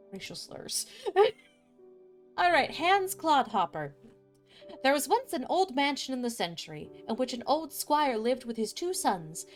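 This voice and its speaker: A woman with a fairly high voice and very animated intonation hosts a storytelling stream. She is informal and candid, and reads with plenty of expression over soft music. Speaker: streamer reading fairy tales aloud. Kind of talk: casual livestream chat and storybook narration.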